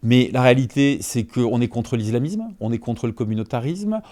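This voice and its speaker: A journalist in his fifties answers in an interview with a warm, mellow voice. He argues emphatically and often pauses to ask whether the listener is following. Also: medium pitch, very animated. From Franco-Iranian. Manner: emphatic, peppered with "en fait" and rhetorical checks. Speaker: journalist guest.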